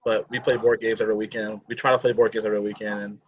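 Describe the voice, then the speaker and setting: A man in his twenties chatting on a video call, enthusiastic about his hobbies and quick to laugh. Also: lowish voice, little pitch movement, lo-fi sound. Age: 20s. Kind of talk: casual chat on a video call.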